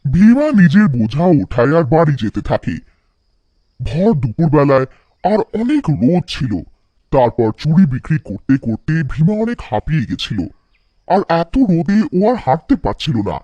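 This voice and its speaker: A man's voice: fairly high pitch, sing-song, normal speed, dark tone, no room sound, lo-fi sound. A man narrating a scripted cartoon folktale for children.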